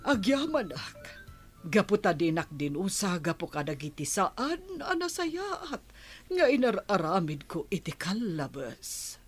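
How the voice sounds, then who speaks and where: A woman with a Filipino accent playing a humble, repentant tribeswoman in a radio drama, in a husky voice. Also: mid-range voice, very animated, measured pace, faint music behind. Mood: remorseful, grateful. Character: humble, repentant tribeswoman, grateful to her chief.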